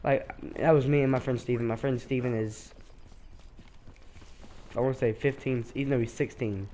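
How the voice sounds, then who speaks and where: A teenage boy chats loosely over his game, his voice mellow and stuffed up from a cold. He wanders from point to point and restarts his sentences often, with a faint hum behind him. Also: medium pitch, steady tone, moderate pace. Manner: rambling, hesitant, with many restarts. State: sick, congested. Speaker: streamer. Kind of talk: casual gameplay commentary.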